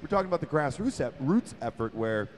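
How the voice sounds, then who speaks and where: A man's voice: a little high, very animated, fast talker, a crowd in the background. A man co-hosting a talk show, asking long, rambling questions in a chatty way. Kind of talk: casual interview talk.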